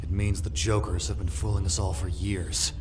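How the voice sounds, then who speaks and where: A man's voice: low-pitched, little pitch movement, normal speed, darkish tone, slight background noise, close to an everyday voice. A man voicing a bitter, rebellious young antihero in a comic dub, sardonic and accusatory. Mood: bitter, defiant.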